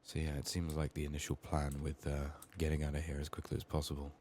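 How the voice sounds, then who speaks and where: A soft-spoken man murmuring through an ASMR game playthrough, often dropping into whispers, his voice a little strained from being sick. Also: very deep voice, completely flat delivery, quick pace. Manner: murmured thinking aloud. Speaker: ASMR gameplay streamer. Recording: faint noise, keyboard typing and clicks. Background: keyboard typing, clicking, faint noise.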